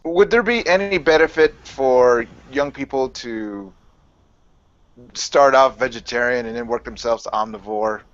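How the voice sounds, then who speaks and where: A man's voice: medium pitch, measured pace. Man steering the chat on a lo-fi call. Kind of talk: casual chat.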